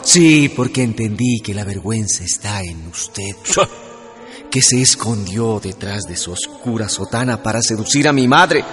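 An earnest low-voiced young Ecuadorian schoolteacher. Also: male voice, animated, measured pace, fairly bright tone. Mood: accusing. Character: earnest young male schoolteacher, in love and wounded. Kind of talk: Spanish-language radio drama acting and narration. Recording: long reverb, music behind.

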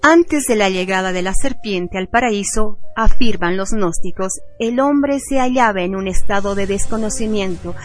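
A woman reading a scripted radio narration in a solemn, deliberate manner. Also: medium pitch. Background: music.

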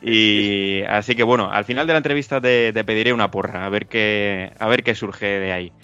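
A man with a Spanish accent from Spain hosting a podcast interview, talking in a halting way, with many fillers and repeated words. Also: lowish voice, steady tone, sharp tone, clear, resonant tone, lo-fi sound, faint music behind. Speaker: podcast host. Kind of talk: casual interview.

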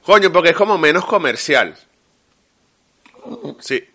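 Hombre venezolano de voz brillante. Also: male voice, a little high, lively intonation, measured pace, bright, forceful tone, slight background noise. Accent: Venezuelan Spanish. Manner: emphatic, slangy storytelling. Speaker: podcast co-host. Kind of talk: casual chat.

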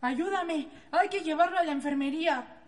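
A woman's voice: sits high, normal speed, close to an everyday voice. A woman playing a jeering, foul-mouthed prison inmate with a Mexican accent and a coarse, mocking manner in a radio drama. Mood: urgent, alarmed. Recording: long echoing reverb.

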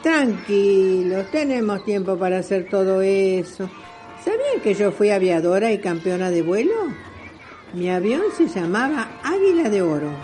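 Woman acting an animated old Argentine great-grandmother aviatrix. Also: mid-range voice, normal speed, faint music behind, close to an everyday voice. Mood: fond, reminiscing. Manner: animated, exclaiming. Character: spirited old great-grandmother, retired aviatrix, dreamy and kind. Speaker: student voice actor. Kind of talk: children's radio play acting.

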